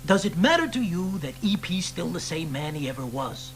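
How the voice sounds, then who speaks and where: Wry protest-era poet, needling, animated pitch. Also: male voice, moderate pace, slight background noise. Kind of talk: radio drama acting.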